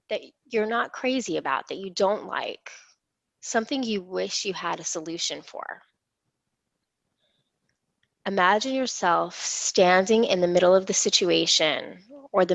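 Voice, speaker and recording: A woman leading a guided imagery meditation in a soothing, unhurried, reassuring manner. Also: lowish voice, gravelly, no echo. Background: gentle instrumental music.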